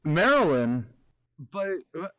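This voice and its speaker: An actor playing a male survey commander and tender long-lost lover in an audio drama, halting and emotional. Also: a little high, big pitch swings, fairly bright tone, no echo, heavily acted, poor audio. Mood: stunned.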